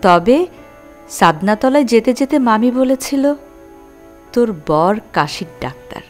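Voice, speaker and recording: A naive young bride from the village in an audio drama. She speaks shyly and plaintively in a fairly high voice, with faint music behind her. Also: lively intonation, a little slow. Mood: shy, teasing. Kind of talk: Bengali audio drama acting.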